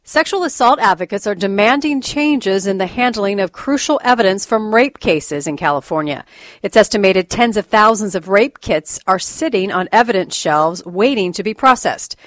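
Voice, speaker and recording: A woman reading a scripted news report in a crisp, serious manner. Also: medium pitch, expressive, moderate pace, no room sound. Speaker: news anchor. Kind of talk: scripted radio news read.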